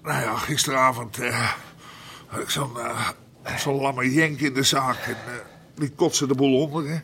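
An actor playing a stubborn, hot-tempered middle-aged Amsterdam underworld boss in a radio drama, blunt and slangy. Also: male voice, medium pitch, steady tone, unhurried, bright, forceful tone. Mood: grumbling. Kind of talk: naturalistic Dutch radio drama acting.

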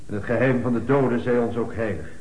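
An actor playing a lofty male funeral orator in a radio play, declaiming a eulogy with measured pauses. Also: mid-range voice, fairly even intonation, a little mellow, lightly acted. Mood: grave. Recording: echoey hall with long reverb.

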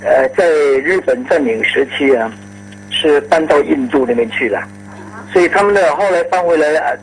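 A man's voice: fairly high pitch, expressive, normal speed, gravelly, slightly rough, poor audio. A man informally recounting things in a radio studio, ending phrases with particles.

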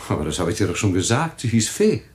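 An actor playing a husband whose calm turns coldly threatening and demanding in a radio drama. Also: male voice, lowish voice, fast talker. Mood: menacing. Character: menacing husband, cold, commanding. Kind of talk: German radio drama acting.

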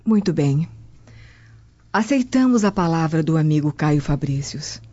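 A woman's voice: low-pitched, animated, unhurried, dark tone, no room sound, lo-fi sound. A woman playing a dignified, cautious noble Roman matron in a radio drama. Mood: solemn, authoritative.

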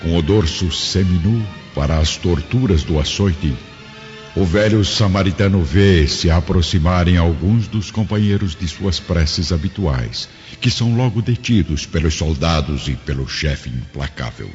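A man's voice: sits low, expressive, unhurried, darkish tone. A man narrating a radio drama in a solemn, literary, scripted style. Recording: dull recording, long reverb, music behind. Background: music.

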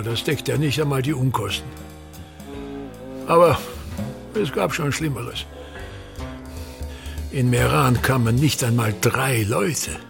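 An actor playing a pompous, tyrannical older theatre manager in a radio drama, declaiming scornfully in a gravelly, husky voice. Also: male voice, mid-range voice. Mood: grumbling. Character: pompous, tyrannical ageing male theatre actor-manager berating his son.

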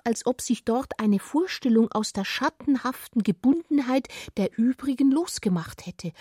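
A woman narrates literary prose in a fairly high, breathy voice. Her delivery is deliberate and expressive, sometimes sinking toward a whisper. The sound is close and dry, as in a radio drama studio. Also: no echo. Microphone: close, dry studio microphone.

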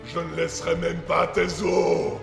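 An actor playing a wrathful, boastful demon in an audio drama, pompous and menacing, with a gravelly voice. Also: male voice, on the high side, monotone, a little slow. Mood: pompous menace. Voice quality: gravelly, creaky. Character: wrathful, boastful demon, a pale head from hell. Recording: echoey long reverb under loud music.

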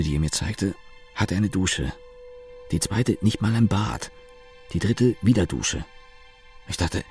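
A man's voice: lowish voice, gravelly, a little mellow. An actor playing a sardonic, brooding male office worker in a wry, colloquial monologue. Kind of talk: radio play monologue.

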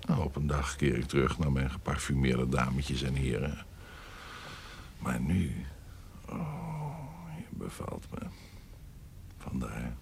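An actor playing a scheming, lecherous courtier in an audio period drama, insinuating and savouring his words, often dropping to hushed tones. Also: big pitch swings, takes it slowly, long pauses, slight background noise, heavily acted. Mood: coaxing. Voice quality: deep, gravelly. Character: scheming, lecherous male courtier and secret conspirator. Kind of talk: audio drama acting in Dutch period piece.

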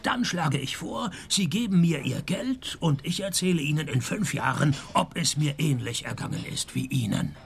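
An actor playing a world-weary, wisecracking private detective in a radio play, dry and deadpan, with a husky, gravelly voice. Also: male voice, a little high, moderate pace, few pauses. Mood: mocking. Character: sardonic, world-weary male private detective, middle-aged, broke. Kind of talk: first-person radio play narration and dialogue.

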